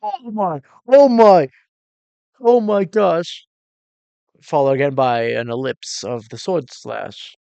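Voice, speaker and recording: A man's voice: a little high, slow, creaky, mellow, clean tone, no echo. A man giving casual, exclamatory reaction commentary as a cinematographer and host, thinking aloud informally while explaining technique.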